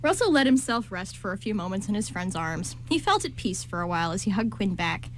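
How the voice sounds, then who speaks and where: A woman's voice: medium pitch, very animated, a little fast. A woman reading scripted story narration for an amateur radio play. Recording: clearly noisy amateur recording.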